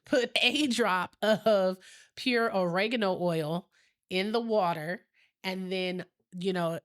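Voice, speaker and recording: A woman's voice: medium pitch, slow pace. A woman co-hosting a podcast in casual chat, rambling and laughing easily, her nose a little stuffy. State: slightly stuffy from a sinus infection. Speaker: podcast co-host.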